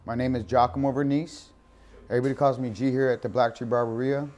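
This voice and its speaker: A man's voice: medium pitch, little pitch movement, quick pace, a little mellow. An American man in his forties talking conversationally in a casual documentary interview, often adding "you know". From San Diego, California, United States. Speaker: barber. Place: inside a barbershop. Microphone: lapel mic.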